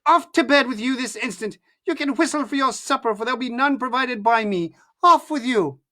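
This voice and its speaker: A storyteller voicing a poor farm mother who screams and scolds her son in a high, loud voice. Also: expressive, normal speed. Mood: furious.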